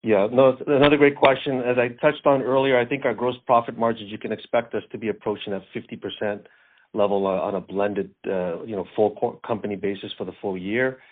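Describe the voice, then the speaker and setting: A man speaking as a finance executive, answering questions on an earnings call in a halting way, repeating words as he goes. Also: on the low side, fairly even intonation. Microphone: conference call line. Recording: thin phone-like conference call line.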